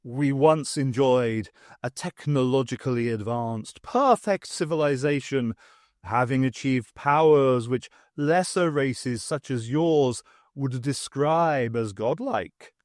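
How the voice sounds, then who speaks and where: A man's voice: mid-range voice, slow pace, darkish tone, no room sound, clean studio sound, lightly acted. A man voicing a pompous, stately male alien leader in an audiobook, haughty and grand. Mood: haughty, wistful. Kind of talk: audiobook character voice.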